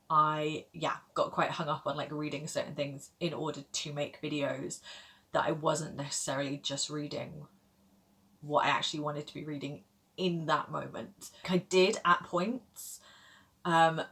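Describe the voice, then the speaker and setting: A woman's voice: low voice, a bit bright. A woman, chatting casually to camera as a book vlogger, rambling with lots of fillers and little laughs. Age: 30s.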